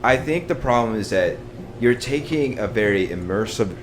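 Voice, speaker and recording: A man talking casually as a podcast panelist, explaining his opinion in a halting way with lots of "like" and "kind of". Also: mid-range voice, animated, background noise. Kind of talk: casual chat.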